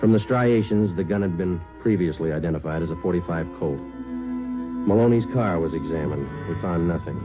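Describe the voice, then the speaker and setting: An actor playing a terse, businesslike police sergeant in a 1950s radio drama, clipped and matter-of-fact, whether questioning or narrating. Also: male voice, deep voice, normal speed, over loud music, natural voice. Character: terse, businesslike male police detective sergeant, calm and procedural. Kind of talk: 1950s radio drama acting with scripted first-person narration. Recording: muffled old radio recording.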